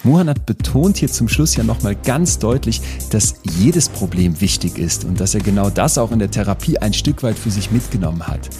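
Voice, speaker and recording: A man's voice: fairly low pitch, lively intonation, moderate pace, hardly any pauses. A man closing a podcast episode with earnest, emphatic reflection. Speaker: podcast host. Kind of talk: closing narration. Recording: music clearly underneath.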